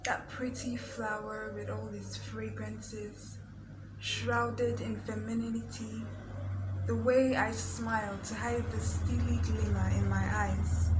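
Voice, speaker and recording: A woman reading spoken-word poetry in a measured, deliberate way. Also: fairly high pitch. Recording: echoey room, clear background noise.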